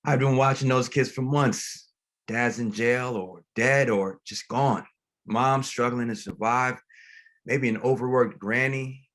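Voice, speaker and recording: An actor playing a gentle, grieving pastor in a dramatic table read, speaking plainly and haltingly. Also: male voice, mid-range voice. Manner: reflective, plain-spoken, halting. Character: grieving, gentle, reflective male pastor, widowed father.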